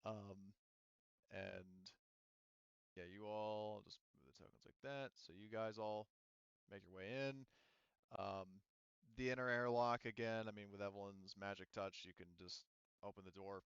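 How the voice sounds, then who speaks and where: A man talking casually as game master at a tabletop game, in a rambling, halting way full of filler phrases. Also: on the low side, fairly even intonation, a bit bright, clean tone, no room sound, muffled recording.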